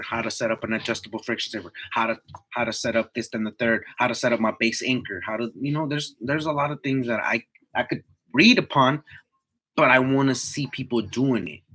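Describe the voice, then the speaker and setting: A man's voice: medium pitch, a bit bright, breathy edge. A man in his twenties chatting casually to live viewers in a slangy, motivational way, repeating himself for emphasis. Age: 20s. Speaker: livestream host, tree climber.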